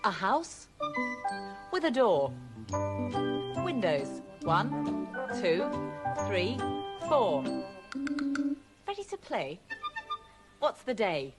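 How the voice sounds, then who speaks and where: A woman's voice: medium pitch, huge pitch swings, drawn-out words, long pauses. A woman presenting a children's television intro in a sing-song manner. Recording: archive TV intro over tinkling music. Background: loud jingle with chimes.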